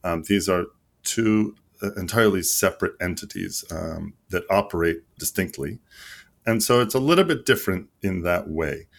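Low-voiced man explaining earnestly. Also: lively intonation, normal speed. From United States. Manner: earnest, explanatory, with frequent fillers and restarts. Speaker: league marketing executive, guest. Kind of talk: podcast interview answers.

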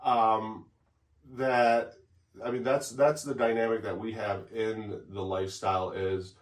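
A man's voice: on the low side, little pitch movement, unhurried. A man co-hosting a podcast from his home office, chatting casually and often repeating words as he starts a thought. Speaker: podcast co-host. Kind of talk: casual chat. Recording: echoey room, distant mic.

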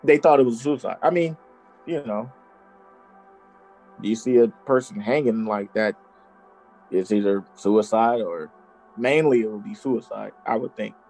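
A man's voice: lowish voice, a little slow, pauses often, a little mellow, faint music behind, rough recording. A man co-hosting a podcast, telling a true crime story in casual chat and laughing often. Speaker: podcast co-host.

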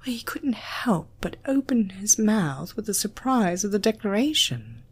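A British woman narrates a story as an audiobook reader. She takes her time, and her pitch moves expressively through each sentence. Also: big pitch swings, unhurried, slightly rough.

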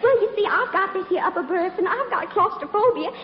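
High, sugary scheming southern belle. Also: female voice, expressive, fairly quick, faint music behind. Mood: mock distress. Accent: put-on American Southern. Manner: coy, gushing, theatrical. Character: scheming flirtatious movie actress faking a sugary southern belle. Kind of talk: mid-century American comedy film acting. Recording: echoey, dull old film soundtrack, noisy background.

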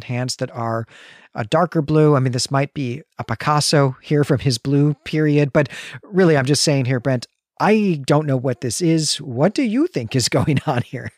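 A man's voice: fairly high pitch, no room sound, clean studio sound. A man co-hosting a podcast, talking through a book in a chatty, informal and reflective way. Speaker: podcast co-host. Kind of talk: conversational book-club commentary.

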